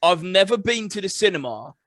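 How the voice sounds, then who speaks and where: A man's voice: high voice, big pitch swings, brisk, a bit bright, dry recording. A man co-hosting a podcast in casual chat, stating blunt, emphatic opinions. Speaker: podcast co-host.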